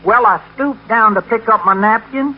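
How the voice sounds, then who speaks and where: A man's voice: high voice, moderate pace, faint music behind, close to an everyday voice. A man playing a folksy, complaining, hungry ranch hand sidekick in 1940s radio comedy. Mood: grumbling, comic. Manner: folksy, complaining, rustic grammar. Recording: muffled 1940s radio broadcast.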